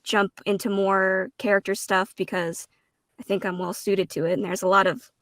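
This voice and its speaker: A woman's voice: mid-range voice, flat delivery, normal speed, a bit bright, no echo, studio quality. A woman speaking as a voice actor guest in a casual roundtable chat, hesitant and a little self-deprecating.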